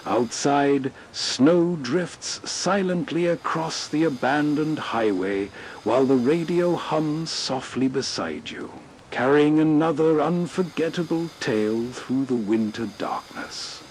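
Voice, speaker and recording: Slow-speaking male atmospheric radio host. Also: fairly high pitch, a little mellow, a little hiss or hum. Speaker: host narrator. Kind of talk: slow scripted atmospheric bedtime-style narration.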